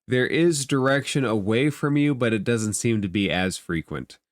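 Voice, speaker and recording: A man acts as game master, improvising narration and explaining the world to players. His voice sits at an average pitch and is recorded very dry, with no room around it. The delivery is conversational. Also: moderate pace, no room sound.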